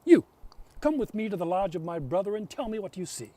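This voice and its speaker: A man's voice: sits high, very animated, a little fast, mellow, far from the mic. A man voicing a spirit's stern sister, commanding and testing, in a told folk tale.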